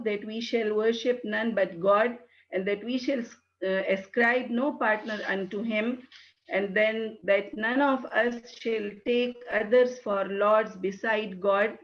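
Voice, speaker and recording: A woman's voice: a little high, fairly even intonation, slow. Hesitant Pakistani woman, lo-fi audio. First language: not English. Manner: hesitant, many fillers. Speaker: guest speaker. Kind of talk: webinar talk. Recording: dull lo-fi webinar audio.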